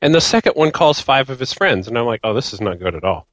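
Lively, quick-talking man chatting about games. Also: medium pitch, no echo, rough recording. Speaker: podcast panelist. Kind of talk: casual gamer chat.

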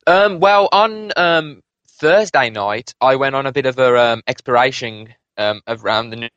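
A British man chatting casually about video games as a podcast panelist, with a hesitant, halting delivery. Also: medium pitch, a bit bright, clear, resonant tone, no room sound, lo-fi sound. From United Kingdom. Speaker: podcast panelist and game reviewer.

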